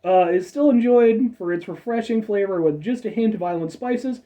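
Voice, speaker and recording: A man's voice: high voice, moderate pace, warm tone, far from the mic. A man in his thirties hosting a casual soda review show, rambling with chatty asides and a slightly stuffed-up nose. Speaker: food review show host.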